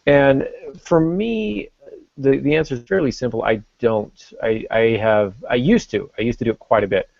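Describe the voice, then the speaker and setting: A middle-aged man hosting a podcast, talking casually in a halting, reflective way and correcting himself as he goes. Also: mid-range voice, gravelly, no room sound, lo-fi sound. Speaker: podcast host.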